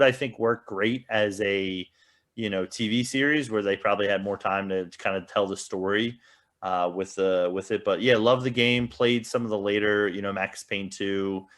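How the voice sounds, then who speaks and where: A man's voice: on the low side, fairly bright tone. A man co-hosting a podcast, chatting casually about films and games. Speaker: podcast co-host. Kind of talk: casual movie and game review chat.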